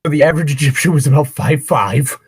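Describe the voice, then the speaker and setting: A man's voice: a little high, fast talker, slightly rough, no room sound, clean studio sound. A man reading a story aloud and breaking off into wry, sweary, rambling casual commentary as co-host. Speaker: co-host of a fan fiction reading show.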